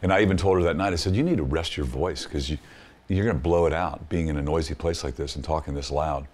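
A man's voice: extremely low pitch, fast, darkish tone. A man, the guest's father, recounting a story in a plain, matter-of-fact way.

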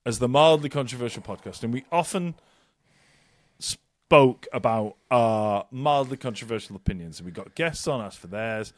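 Casting: male podcast co-host, sweary and jokey, lively voice, dry close sound. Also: mid-range voice, normal speed, no room sound. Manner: sweary, jokey, rambling announcements. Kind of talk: casual chat.

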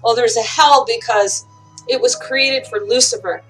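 A woman with a dry voice giving an informal online Bible teaching, halting often with fillers and restarts. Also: a little high, steady tone, fairly bright tone, faint music behind, low-quality recording. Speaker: online preacher.

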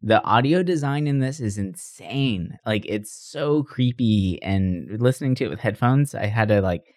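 Fairly low-voiced man in informal chat. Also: expressive, normal speed, a little mellow, no room sound, studio quality. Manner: conversational, informal, loosely phrased. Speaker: podcast co-host. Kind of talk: casual chat, recommending anime.

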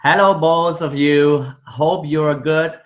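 A man's voice: on the high side, steady tone, takes it slowly, dull audio. A man with a German accent, a native German speaker, answering as a guest on a podcast, earnest and hesitant with many ums. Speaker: guest, company founder. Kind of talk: interview answers.

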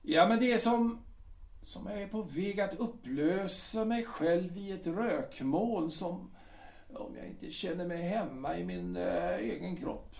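A man's voice: high-pitched, animated, gravelly, darkish tone. A man playing a bitter, ailing old captain. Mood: brooding. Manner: halting, scornful, stammers and repeats words. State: ill, short of strength. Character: bitter ailing middle-aged artillery captain husband. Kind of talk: radio drama acting. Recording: echoey room.

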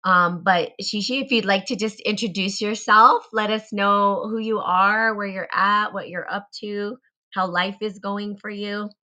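A woman's voice: mid-range voice, fairly even intonation, a little slow, pushed and bright. A woman hosting a casual live talk show, chatty and full of fillers. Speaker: host.

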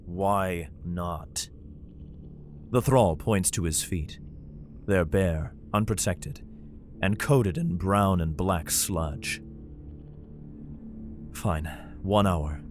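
Weary protective father, deep voice, tense and brooding, audio drama narration, music underneath. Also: drawn-out words, long pauses. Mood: guarded, tense. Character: weary protective father, guarded survivor narrating his flight. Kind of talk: audio drama acting with first-person narration.

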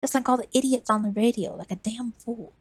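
A woman's voice: a little high, brisk, breathy edge, no room sound, voice pushed up. A woman voicing a dying old woman wanderer who wheezes and coughs, speaking weakly and full of regret. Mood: regretful.

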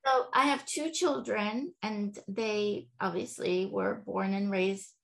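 A woman author, a guest on a podcast, chatting in a relaxed way about her life and telling stories. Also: medium pitch, takes it slowly, creaky. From Iran. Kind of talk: casual interview chat.

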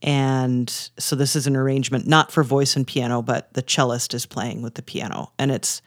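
A man's voice: medium pitch, steady tone, normal speed, dry recording, clean studio sound. An American man co-hosting a casual chat about classical music, talking in an enthusiastic, joking way. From United States. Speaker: podcast co-host.

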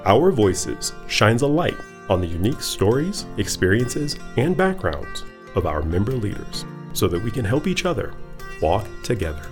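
A man with a low, gravelly voice reads a scripted podcast introduction. His delivery is measured, yet his pitch moves a lot. Guitar music plays behind him. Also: sing-song. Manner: measured, announcer-like. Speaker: podcast narrator. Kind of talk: scripted narration.

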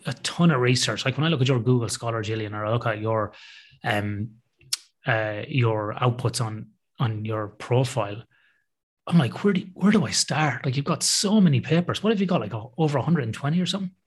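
Male podcast host, rapid casual delivery, joking and teasing interview manner. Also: mid-range voice, expressive, slightly rough.

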